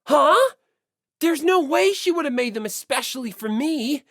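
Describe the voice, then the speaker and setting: A woman's voice: sits high, expressive, a little slow, a bit bright, no room sound, studio quality, pitched above the performer's own voice. A woman voicing a modest, kindly teenage schoolboy in an audiobook, sincere and easily flustered. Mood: flustered denial.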